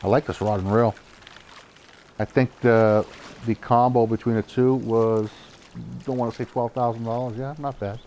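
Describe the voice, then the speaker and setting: A young man with a Boston accent, chatting casually as he streams a fishing game, getting excited at each good catch and laughing often. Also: mid-range voice, normal speed, slight background noise. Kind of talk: casual live gameplay commentary.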